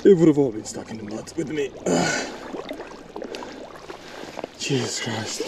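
A man's voice: medium pitch, expressive, slow, vocal fry, very noisy. A young man, chatting candidly to viewers as he fishes along a riverbank, recorded on a body-worn action camera outdoors. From Estonia. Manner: chatty and candid, talks to viewers while fishing. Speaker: fishing vlogger. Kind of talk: casual outdoor vlog chat.

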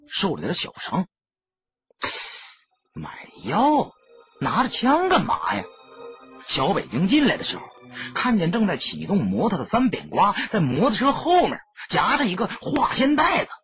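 Husky guy telling a dramatic story, swings up and down a lot, slightly muffled with music. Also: fairly high pitch, fairly bright tone. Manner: colloquial, emphatic storyteller's delivery. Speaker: audiobook narrator. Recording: dull lo-fi audio, music behind.

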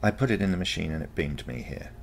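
A man voicing a calm, confident teenage granddaughter from the future, matter-of-fact and explanatory, in a storybook. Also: low-pitched, fast talker, creaky, slight background noise. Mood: unconcerned. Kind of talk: storybook character dialogue.

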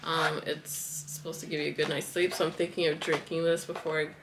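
Chatty woman, lowish voice, noisy kitchen. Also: flat delivery, brisk. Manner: chatty, loose, many fillers and likes. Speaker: wellness vlogger. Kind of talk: casual grocery haul vlog. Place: home kitchen, indoors. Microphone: camera on the counter, moving in and out of frame.

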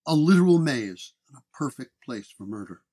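A man's voice: mid-range voice, animated, normal speed, warm tone, natural voice. A man playing a world-weary 1930s paranormal detective, dictating case notes in terse, hard-boiled radio narration. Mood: matter-of-fact.

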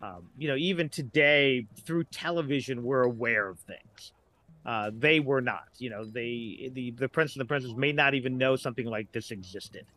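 A man's voice: medium pitch, moderate pace, pushed and bright. A middle-aged man with an American accent talking casually as a podcast guest, thinking aloud in a rambling way.

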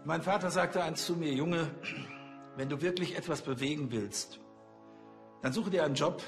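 An actor playing a pompous, self-important senior police officer, giving formal speeches in a TV crime comedy. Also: male voice, a little high, normal speed, faint music behind, close to an everyday voice. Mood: solemn, self-satisfied.

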